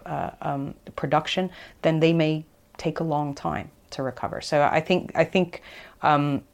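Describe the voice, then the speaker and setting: A woman archaeologist giving qualified, tentative explanations as an interview guest. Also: deep voice.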